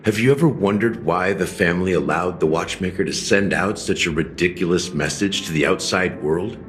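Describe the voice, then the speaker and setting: An actor playing a worldly, cynical detective guide in a video game, speaking in a theatrical, rhetorical way. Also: male voice, sits low, moderate pace, lightly acted. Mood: knowing, probing. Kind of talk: scripted video game dialogue. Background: music.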